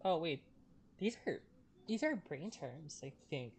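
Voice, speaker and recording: A man's voice: a little high, very animated, unhurried, vocal fry, darkish tone, slight background noise. A man streaming a video game, making casual offhand comments as he plays.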